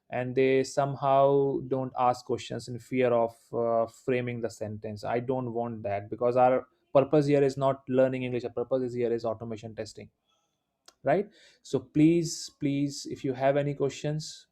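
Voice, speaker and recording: Indian man teaching, flat mellow voice. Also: medium pitch. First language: Hindi. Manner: informal and encouraging, many "uh" fillers. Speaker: instructor. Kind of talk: live online class lecture.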